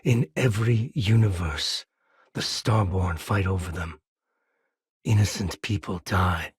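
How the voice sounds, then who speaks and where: A male actor playing a wry, rough-edged space cowboy in a video game, with a husky, raspy voice and a dry, folksy manner. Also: deep voice, measured pace, dry recording, exaggerated voice. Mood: solemn. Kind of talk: game character dialogue lines.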